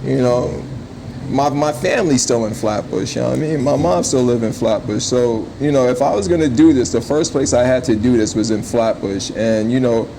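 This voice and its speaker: A man's voice: medium pitch, moderate pace, creaky, warm tone, slight background noise, poor audio. A man, talking casually and emphatically in slang as a restaurant owner being interviewed. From born in Jamaica, raised in Brooklyn from age five.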